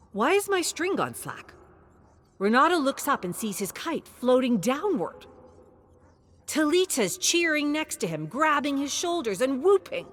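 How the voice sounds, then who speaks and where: A woman reading scripted story narration in an expressive, dramatic storytelling manner. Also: medium pitch, a little hiss or hum.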